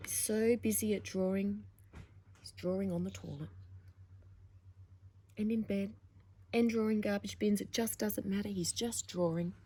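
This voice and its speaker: A woman's voice: mid-range voice, fairly even intonation, a little slow, pauses often, warm tone, breathy edge. A woman presenting storytime, reading picture books in a playful, theatrical way with chatty asides to young listeners. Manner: playful, theatrical, with dramatic emphasis.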